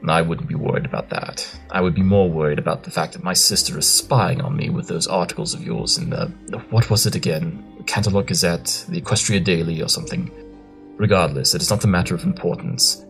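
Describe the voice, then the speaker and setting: A man voices a very deep-voiced, brilliant detective pony in a cartoon-style audio drama. He is pompous, dismissive and dryly sardonic, with music playing behind him. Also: a little fast, creaky, fairly bright tone. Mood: brisk, dry. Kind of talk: scripted audio drama acting.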